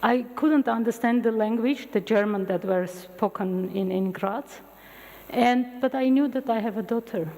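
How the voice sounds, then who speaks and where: A middle-aged woman whose first language is Armenian gives an open university lecture in English, telling stories with halting restarts. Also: on the high side. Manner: animated storytelling with halting restarts. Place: large lecture hall. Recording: long hall reverb.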